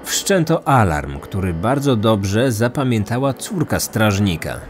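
A man's voice: lowish voice, big pitch swings, measured pace, soft background music. A man reading scripted true crime narration as a podcast host.

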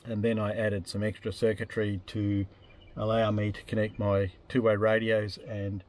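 Mellow, low-voiced Australian man. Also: completely flat delivery, normal speed. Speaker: off-road camping vlogger. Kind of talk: casual explanatory vlog. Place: inside a parked truck cab in the bush.

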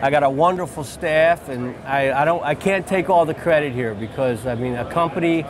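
Man, 40s, outfitter, enthusiastic storyteller, slightly high voice, lapel mic, crowd chatter. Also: normal speed. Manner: enthusiastic, storytelling, repeats phrases. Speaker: fishing lodge owner and outfitter. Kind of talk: trade-show interview. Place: busy convention hall booth, indoors.

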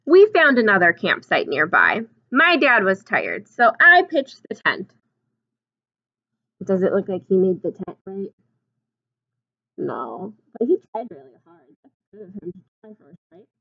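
A woman in her twenties reading a picture book aloud like a teacher, expressively, pausing to put simple questions and explanations to young children. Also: mid-range voice, huge pitch swings, gravelly, dull audio. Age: 20s. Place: small classroom, indoors.

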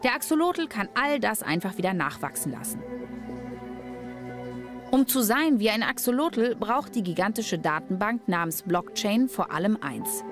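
A woman's voice: mid-range voice, very animated, moderate pace, over music. A woman reading scripted narration for a documentary.

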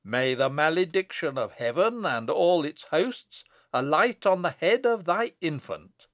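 Man acting a stern, booming, wrathful abbot. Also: lively intonation, takes it slowly, fairly bright tone, no room sound, studio quality. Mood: wrathful, condemning. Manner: declamatory, solemn, archaic incantatory speech. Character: stern, wrathful abbot pronouncing a prophetic curse.

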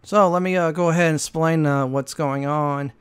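A man giving casual, halting, explanatory game walkthrough commentary as a gaming host. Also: fairly high pitch, fairly even intonation, clear, resonant tone.

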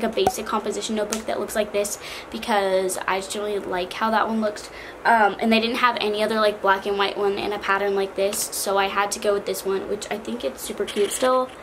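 Teen girl vlogger, slightly hoarse, higher voice, chatty; bedroom with faint fan hum. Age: teens. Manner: chatty, bubbly, lots of "like". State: slightly hoarse from cheer camp. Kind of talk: casual backpack vlog. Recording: faint fan noise behind.

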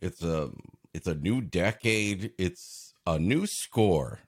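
A man's voice: low-pitched, animated, slow pace, husky. A man co-hosting a comedy podcast in casual banter, wry and playfully teasing. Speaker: podcast co-host.

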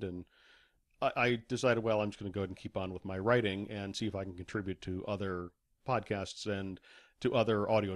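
A man speaking casually as a podcast guest, a writer and voice actor telling anecdotes. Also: on the low side. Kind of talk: casual anecdotal interview chat.

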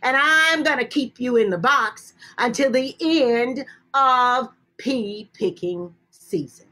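A woman's voice: fairly high pitch, slow pace, bright, forceful tone, heavily acted. A woman voicing a watchful, indignant little girl in a folktale, exclaiming emphatically. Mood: stern. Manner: exclaiming, emphatic, sing-song.

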